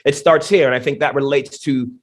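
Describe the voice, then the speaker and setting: A man, a genetics professor, speaking in a podcast interview with emphatic, animated explanations full of rhetorical questions. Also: mid-range voice, brisk. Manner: energetic, emphatic, many restarts and rhetorical questions.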